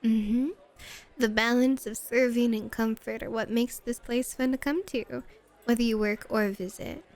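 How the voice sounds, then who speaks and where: A woman's voice: a little high, fairly even intonation, measured pace. A woman playing a flirty, teasing, self-assured club hostess in an ASMR roleplay. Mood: relaxed, gracious.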